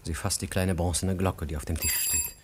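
A man narrates a radio drama in a deep register. His delivery is literary, deliberate and sombre, with a faint noise behind the recording. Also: level tone.

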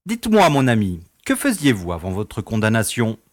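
An actor playing an affable, patronising prison director in an audio drama. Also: male voice, fairly low pitch, wildly swooping pitch, moderate pace. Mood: genial. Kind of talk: French audio drama acting.

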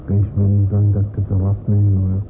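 Gruff, patient seaman confidant, male actor, low and unhurried, laconic, thin band-limited radio drama sound. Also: monotone, over music, natural voice. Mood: gruff, probing. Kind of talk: Dutch radio play dialogue. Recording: tinny, noisy old radio play recording.

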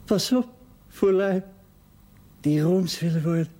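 A bitter, pleading man on his deathbed in a TV drama. The voice is mellow and a little high. He drags out each fragment very slowly, with laboured effort. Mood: bitter, resigned. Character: dying man, bitter, regretful, pleading with friends. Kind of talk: television drama deathbed acting.

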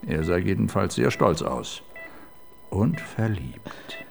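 An actor in a radio crime play voicing the detective's teasing, playful partner. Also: male voice, deep voice, very animated, slow pace, gravelly, a little hiss or hum, full character voice. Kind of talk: radio crime drama acting. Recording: radio play with faint music.

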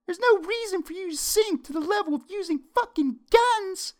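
A man's voice: very high-pitched, expressive, moderate pace, voice pushed up. A man voicing an irritated young woman from a gaming group, lecturing indignantly.

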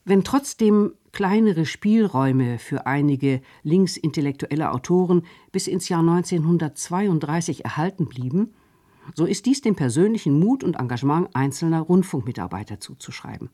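A man's voice: on the high side, expressive, mellow. Man narrating a radio documentary. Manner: measured, formal delivery. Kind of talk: scripted narration.

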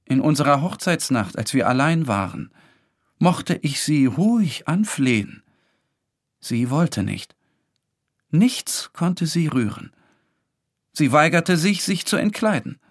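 An actor playing a tormented, obsessive man, narrating his own story in a deliberate, brooding and intense literary style. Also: on the high side, very animated, heavily acted. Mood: brooding, bitter. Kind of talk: dramatic first-person literary narration. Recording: studio narration over clear music.

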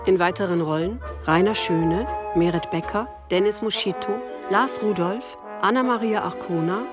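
A woman's voice: medium pitch, moderate pace. A woman reading scripted credits as a radio drama announcer. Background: orchestral brass music.

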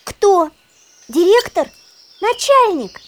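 A woman's voice: very high voice, sing-song, takes it slowly, heavily acted. A woman playing a curious, eager little granddaughter in a children's audio play, talking in a childlike, inquisitive way.

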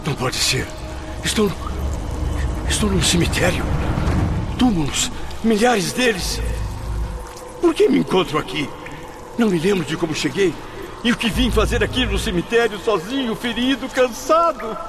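A high, tormented blind soul. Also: male voice, expressive. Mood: bewildered dread. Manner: theatrical, tormented, halting and desperate. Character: anguished, blinded man trapped in afterlife torment after suicide. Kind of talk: radio drama acting. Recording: dramatic music under the voice.

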